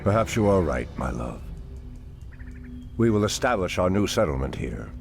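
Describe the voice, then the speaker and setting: An actor playing an ancient night elf archdruid in a fantasy game, with grave, formal, commanding speech. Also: male voice, sing-song, moderate pace, exaggerated voice. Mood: grave, measured. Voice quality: very deep, gravelly. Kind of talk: dramatic fantasy game acting. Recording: orchestral game music behind voice. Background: loud music.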